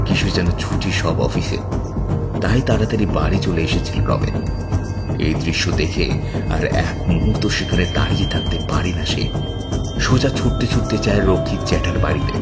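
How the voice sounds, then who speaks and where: A man with a low voice reads a horror tale as narrator. His delivery is dramatic and scripted, with loud music running behind it. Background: loud horror background music.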